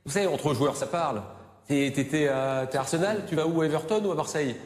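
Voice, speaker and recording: Emphatic fast-talking man, reverberant room. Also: fairly high pitch. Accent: French. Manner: emphatic, repeats phrases for effect. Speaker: football pundit. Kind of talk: informal sports debate.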